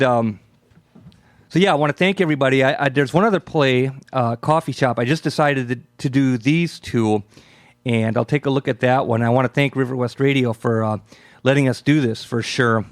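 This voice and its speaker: Halting, effusive American man hosting casually. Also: medium pitch. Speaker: playwright host of a live radio event. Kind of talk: casual, unscripted thanks and chat.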